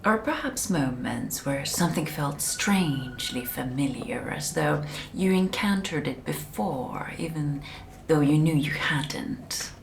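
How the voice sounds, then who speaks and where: Woman playing a very slow, hushed hypnotic specialist. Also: low voice, lively intonation, slight background noise, full character voice. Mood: clinical, approving. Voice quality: soft, breathy, often whispering. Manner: slow, deliberate, soothing, clinical. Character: calm, controlling female institute specialist conducting mind conditioning. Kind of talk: ASMR roleplay, soft guided hypnosis.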